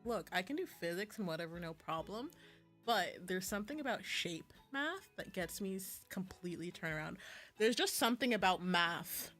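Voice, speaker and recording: Nerdy, laid-back college boy read by a woman, game dialogue, bright casual delivery over music. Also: mid-range voice, normal speed. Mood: exasperated. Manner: slangy, casual, animated. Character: young male astrophysics student, nerdy, easygoing, teasing. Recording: music playing behind the voice.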